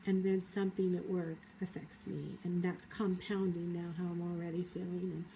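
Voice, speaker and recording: A woman with a cold, talking through her feelings in a thoughtful, hesitant personal vlog monologue with many pauses. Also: on the low side, flat delivery, vocal fry, dark tone, slight background noise, poor audio. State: has a cold. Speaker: vlogger.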